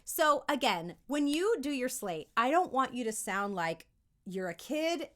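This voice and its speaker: A woman giving an upbeat, conversational lesson as a voice acting coach, animated and encouraging, often checking in with listeners. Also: high voice, unhurried. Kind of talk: upbeat instructional talk to camera.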